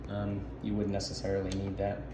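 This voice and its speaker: A man giving an informal instructional walkthrough of equipment, explaining in a halting, improvised way. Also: sits low, completely flat delivery. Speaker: sound system installer giving a walkthrough. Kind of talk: informal instructional demo narration. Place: indoor camp office. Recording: echoey room, noisy ambient background.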